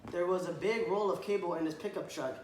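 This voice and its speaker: A man reading a children's picture book aloud to a child, stopping to point at the pictures and ask questions, and often clearing his throat. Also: high voice, quick pace, a little mellow. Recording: echoey room, faint background noise.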